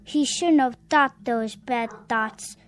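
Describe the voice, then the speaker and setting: A woman's voice: fairly high pitch, takes it slowly. A woman playing a sulky, menacing little boy in a radio drama, speaking in a blunt, childish manner. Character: petulant, menacing little boy with godlike powers.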